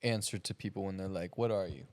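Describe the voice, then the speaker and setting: Young male co-host, laid-back, loud by habit, lowish voice. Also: fast talker. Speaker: podcast co-host. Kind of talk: casual podcast chat.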